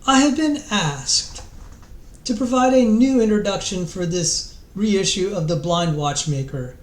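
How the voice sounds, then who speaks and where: Man putting on a high-pitched, grandly theatrical book declaimer. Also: reverberant. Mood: grandly solemn. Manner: theatrical, slow dramatic pauses, stumbles and repeats words. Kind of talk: mock-dramatic reading aloud.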